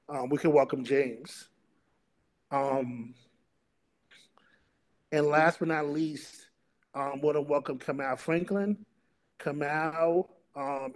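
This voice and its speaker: A middle-aged man moderating a panel and introducing its topic and speakers, in a halting delivery that often repeats words. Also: medium pitch, darkish tone. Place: remote video call.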